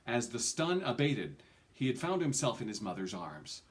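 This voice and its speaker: A man's voice: mid-range voice, big pitch swings, moderate pace. A middle-aged man reading a fantasy novel aloud at home, expressively, stressing key words. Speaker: amateur book reader. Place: small furnished room at home.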